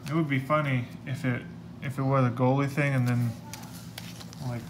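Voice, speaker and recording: A young man casually talking to himself while opening trading card packs at a table indoors. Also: moderate pace. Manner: relaxed, muttering to himself, low-key. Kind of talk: casual solo commentary. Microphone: camera across the table. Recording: loud crinkling and room noise.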